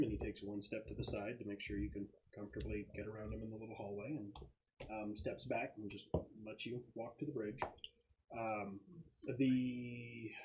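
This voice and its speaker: A man running a tabletop game as game master, narrating scenes casually in halting, descriptive phrases. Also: mid-range voice, warm tone. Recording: muffled, noisy room recording with clicks.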